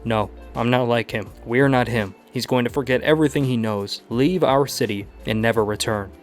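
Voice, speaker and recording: A man's voice: mid-range voice, normal speed, faint music behind, close to an everyday voice. A man doing an intense young superhero. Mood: firm. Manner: intense, shouting at times. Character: young male superhero, angry and protective.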